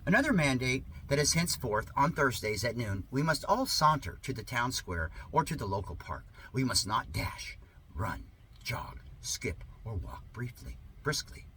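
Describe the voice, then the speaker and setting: Male online host, mid-range and very animated, theatrical, halting delivery, some background noise. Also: medium pitch. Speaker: online host introducing and reading a satirical article. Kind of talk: rambling talk and dramatic sarcastic reading.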